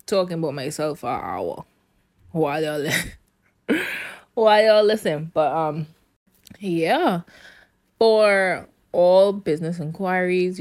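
A woman's voice: low-pitched, no room sound. A young woman hosting her own podcast alone, chatting casually and laughing as she talks straight to her listeners. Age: young adult. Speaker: podcast host. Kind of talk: casual solo podcast chat. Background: rain.

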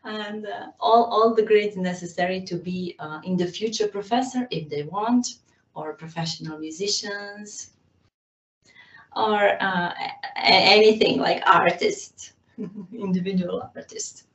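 A woman's voice: mid-range voice, unhurried, roomy sound, distant mic, dull audio. A woman from Serbia who teaches violin, answering interview questions in a hesitant way, with many fillers and frequent small laughs.

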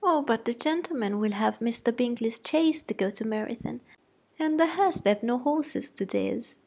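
A woman voicing an excitable, fussy middle-aged mother who gushes eagerly in a storybook reading. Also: moderate pace. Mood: gushing. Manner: shrill, gushing, eager.

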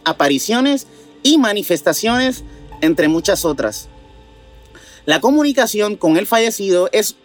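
Guy w kinda high voice cohosting mystery news, reads stuff then muses casually, bit hesitant, faint music behind. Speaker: co-host of a paranormal news show. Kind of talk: news reading mixed with casual reflection.

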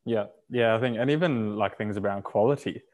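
A man's voice: on the low side, a little fast. A man hosting an interview, asking long, loose questions full of fillers. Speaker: interview host. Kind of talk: casual interview chat.

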